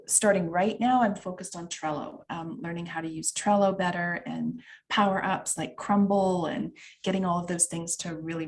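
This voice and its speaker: A woman's voice: medium pitch, darkish tone. Canadian woman, middle-aged, workshop facilitator, chatty with fillers, video-call audio. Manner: chatty, many fillers and asides to the chat. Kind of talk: online workshop teaching.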